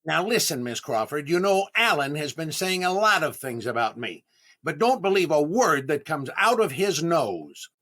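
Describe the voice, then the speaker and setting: An actor playing a vain, eager, easily flustered comedian in 1930s radio comedy style, with drawn-out exclamations and stammering. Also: male voice, fairly high pitch, big pitch swings, a bit bright. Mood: smitten, touchy.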